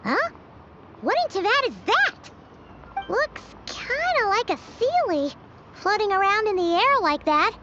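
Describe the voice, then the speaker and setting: A woman voicing a small, excitable, childlike fairy companion in a video game, squeaky and inquisitive. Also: a little slow, exaggerated voice. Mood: curious, surprised. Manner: inquisitive, refers to self in third person. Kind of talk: video game character dialogue. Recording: muffled game stream audio with noise.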